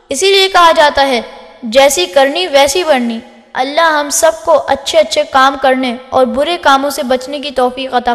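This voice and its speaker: High-voiced woman presenter in a reverberant hall. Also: fairly bright tone. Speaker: presenter of a children's programme. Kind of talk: presenting to children.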